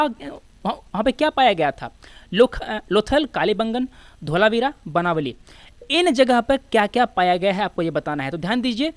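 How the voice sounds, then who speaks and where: A woman's voice: fairly high pitch, no room sound. A woman with an Indian accent giving an informal exam coaching lecture, explaining step by step and posing questions that she then answers.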